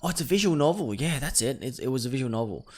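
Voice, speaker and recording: A man's voice: medium pitch, lively intonation, fast. A man doing let's-play commentary, reading game text aloud and reacting in a jumpy, nervous way. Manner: jumpy, exclaims nervously between read-out passages. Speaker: video game streamer.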